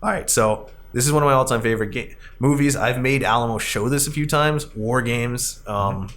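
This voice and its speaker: A man's voice: medium pitch, normal speed, fairly bright tone, a little hiss or hum. A man hosting a podcast in a casual, conversational style. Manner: chuckles often while talking. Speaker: podcast host, arcade company staff.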